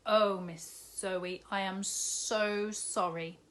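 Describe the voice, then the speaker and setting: A woman voicing a big, jolly, kindly male shopkeeper in a storybook reading, warm and fatherly. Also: mid-range voice, fairly even intonation, slow.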